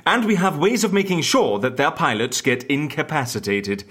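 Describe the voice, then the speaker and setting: A quirky theatrical schemer man, raspy-husky voice, swoops up and down, flamboyant, audio drama. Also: on the high side, normal speed. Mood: scheming, gentle. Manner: animated, flamboyant, old-fashioned exclamations. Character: eccentric, theatrical male agent who stages fake deaths.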